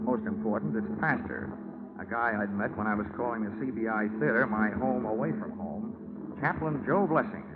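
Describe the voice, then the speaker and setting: A man's voice: mid-range voice, heavy background noise, natural voice. A man with an American accent playing a wry, cocky insurance investigator in a 1940s radio detective drama, wisecracking in a dry, clipped way. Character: wry, hard-boiled freelance insurance investigator, cocky and slangy. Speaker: lead actor and first-person narrator. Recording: tinny, band-limited 1949 radio recording.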